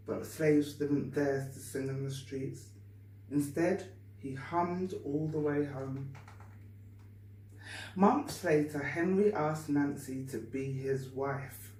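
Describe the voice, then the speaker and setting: A woman reading a story aloud to children with deliberate, expressive phrasing. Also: sits low. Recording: echoey room, distant mic, faint noise.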